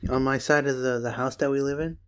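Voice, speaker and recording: A man's voice: mid-range voice, fairly even intonation, quick pace. A young man co-hosting a podcast, chatting in a loose, rambling way with plenty of swearing. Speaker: podcast host. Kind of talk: casual chat.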